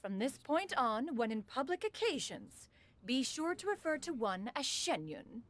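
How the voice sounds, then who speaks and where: A woman's voice: high-pitched, big pitch swings, unhurried, slight background noise. A woman voicing a proud, ancient female bird spirit who speaks in a lofty, archaic way and calls herself "one". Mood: haughty. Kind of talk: video game voice acting.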